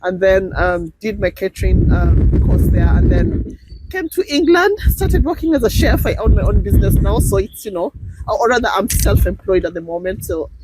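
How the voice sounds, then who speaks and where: A middle-aged Kenyan woman talks easily in an interview, dropping "um" often and laughing between thoughts. Her pitch sits in a middle range. A clear hiss of noise runs under her voice. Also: lively intonation, moderate pace. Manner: warm, laughs often, many fillers. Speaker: guest. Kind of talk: casual interview chat. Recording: noisy background.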